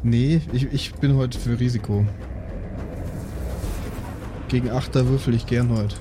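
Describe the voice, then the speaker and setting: A man's voice: mid-range voice, fairly even intonation, unhurried, noisy background. A man with a southern German or Austrian accent in casual table talk as a game player, thinking aloud and checking for agreement. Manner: thinking aloud, seeking agreement with tag questions. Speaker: player in a role-playing game podcast.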